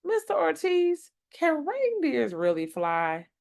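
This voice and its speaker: A woman's voice: sits high, huge pitch swings, slow, creaky, darkish tone, pitched above the performer's own voice, heavily acted. A woman voicing an earnest, curious little girl in a picture book, with a wondering, questioning manner. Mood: curious, worried.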